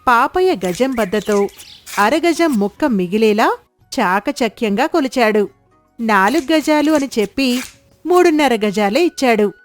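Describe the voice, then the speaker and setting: A woman's voice: fairly high pitch, lively intonation, unhurried, soft background music. A woman narrating a scripted moral story as the storyteller.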